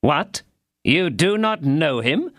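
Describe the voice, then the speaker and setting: A man's voice: fairly high pitch, big pitch swings, fairly bright tone, dry recording, studio quality, pitched above the performer's own voice, full character voice. A man voicing a stern, officious police commissary interrogating a prisoner. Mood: confused. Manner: interrogating, officious, slow and emphatic.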